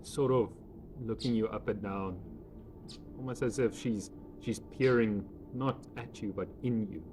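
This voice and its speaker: Male game master, casual, improvised, halting narration. Clear background noise. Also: on the low side. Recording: noisy room with background ambience.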